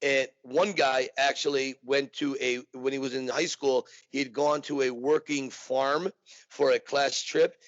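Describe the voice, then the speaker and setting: A man's voice: medium pitch, level tone, a little slow, dry recording. Joking man on muffled dry call audio. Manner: joking, rambling storyteller. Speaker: tour guide, documentary subject. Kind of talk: casual podcast interview.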